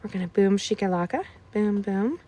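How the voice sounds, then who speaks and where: A woman with a slightly scratchy voice walks viewers through a card-making project in a relaxed, chatty way. She tosses in playful asides and often drops her voice to a near whisper. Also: mid-range voice, normal speed. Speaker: card-making tutorial host. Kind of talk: casual craft tutorial chat.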